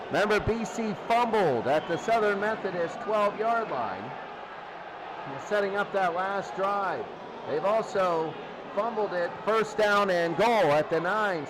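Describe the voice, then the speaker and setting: Male football radio announcer, high register, clipped repetitive calls, noisy recording. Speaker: play-by-play sports announcer. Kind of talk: live radio-style football commentary.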